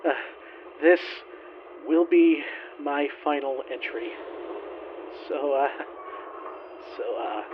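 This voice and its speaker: A man playing a weary, doomed flight engineer, sardonic and halting, recording a log in a science fiction audio drama with a radio-style effect. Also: on the high side, muffled recording, noisy background. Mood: grim, bitter. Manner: halting, sardonic, swearing, resigned. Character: weary male spaceship flight engineer, doomed lone survivor.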